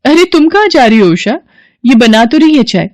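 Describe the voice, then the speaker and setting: A woman voicing a sharp-tongued, boastful city-bred elder sister-in-law who taunts and looks down on others in a family story. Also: on the high side, sing-song, moderate pace, no echo, voice pushed up. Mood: scornful, mocking.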